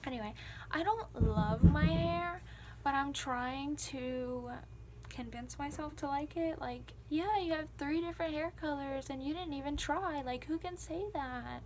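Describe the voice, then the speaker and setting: A woman's voice: high-pitched, a little slow, noisy background. A young woman in a small bedroom chatting casually to her phone camera, rambling and filling her talk with "like". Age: young adult. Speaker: vlogger. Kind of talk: casual vlog chat. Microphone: phone camera at arm's length and on a dresser.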